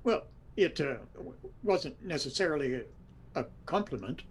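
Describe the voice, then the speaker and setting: A man's voice: a little high, lively intonation, normal speed, breathy edge. A man playing a genial older Irish husband in a comedic audio drama, teasing affectionately in a wry, hesitant way.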